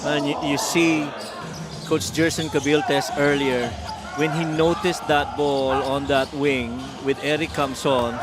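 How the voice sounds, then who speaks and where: A man's voice: a little high, level tone, takes it slowly. Filipino male basketball color commentator. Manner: explanatory, switches between English and Tagalog. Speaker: color commentator, game analyst. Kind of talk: live basketball analysis.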